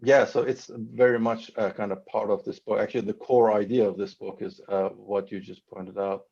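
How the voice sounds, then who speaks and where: Gravelly low man. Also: steady tone, a little mellow, muffled recording. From Iran. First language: Farsi. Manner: thoughtful, halting, many fillers. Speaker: novelist guest. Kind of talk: online panel conversation.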